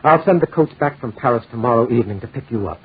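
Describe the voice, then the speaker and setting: An actor playing a concerned, admonishing doctor trying to reason, in a 1940s radio drama. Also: male voice, medium pitch, expressive, quick pace, breathy edge. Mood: calm, practical. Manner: earnest, admonishing. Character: concerned, reasoning male doctor, increasingly alarmed. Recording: noisy, tinny 1940s radio recording.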